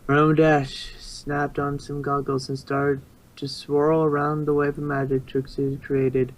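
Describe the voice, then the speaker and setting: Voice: medium pitch, completely flat delivery, measured pace, rough recording. A narrator reading a story aloud in an amateur fan fiction reading.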